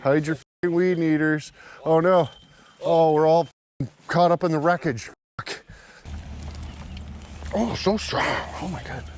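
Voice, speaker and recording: A man's voice: fairly high pitch, a little slow, pauses often, darkish tone. A man bantering casually outdoors, swearing freely and joking around as he vlogs. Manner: foul-mouthed, joking. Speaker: vlogger. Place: outdoors in a yard and driveway. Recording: noisy outdoor recording, vehicle and ambient noise.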